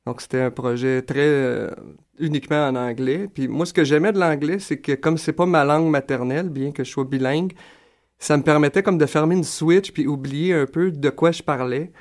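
A young adult man with a Quebec French accent, a singer-songwriter answering interview questions in a relaxed, thoughtful way with many fillers. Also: fairly high pitch, normal speed.

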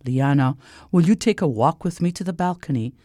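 A woman playing a kindly, affectionate king and father in a children's story, gentle and reassuring. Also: sits low, lively intonation, a little fast, dry recording, studio quality. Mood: curious.

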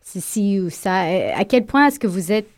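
A woman's voice: medium pitch, lively intonation, moderate pace, no echo. Woman co-host, dry close voice. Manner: thinking aloud, rephrasing questions. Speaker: co-interviewer. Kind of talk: interview questions.

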